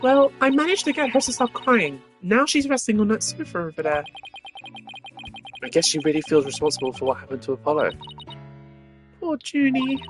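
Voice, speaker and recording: A young female rookie lawyer from a video game, as a streamer puts her on, spunky and emotional. The voice is high and swoops around eagerly over faint music. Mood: sympathetic. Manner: animated, eager. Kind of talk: amateur video game dialogue dub.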